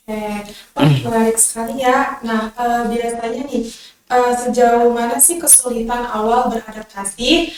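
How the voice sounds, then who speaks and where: A woman's voice: on the high side, fairly even intonation, measured pace, a little mellow. Echoey far-off Indonesian woman interviewer. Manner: polite, reads prepared questions. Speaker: interviewer host. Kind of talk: interview questions.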